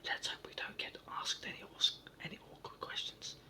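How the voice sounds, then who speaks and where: A reader whispering the part of an eager, quick-thinking male brownie in a storybook. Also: bright, forceful tone, recorded from a distance.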